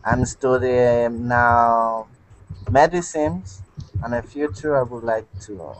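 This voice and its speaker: A man from the Dominican Republic with a Dominican Spanish accent, introducing himself haltingly as a student. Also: medium pitch, poor audio.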